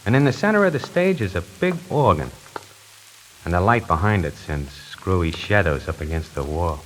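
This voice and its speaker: A man's voice: sits low, big pitch swings, moderate pace, slight background noise, poor audio. An actor playing a brash salesman who narrates his own story in a 1940s radio drama, wisecracking and conversational. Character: brash fast-talking male salesman. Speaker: lead and narrator. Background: music score.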